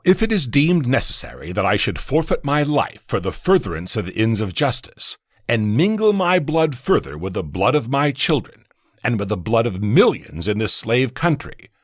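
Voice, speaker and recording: Husky older male condemned abolitionist, played by a man, solemn and defiant, deliberate courtroom oratory, dry close sound, dramatic historical reading. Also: fairly low pitch, no echo, exaggerated voice. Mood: defiant, impassioned.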